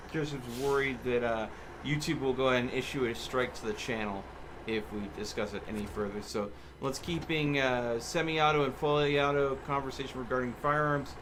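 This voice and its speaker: Guy hosting a game stream, medium pitch, careful diplomatic explaining, engine noise behind him. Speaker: livestream host. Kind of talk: gaming livestream chat with co-players. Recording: clear background vehicle game noise.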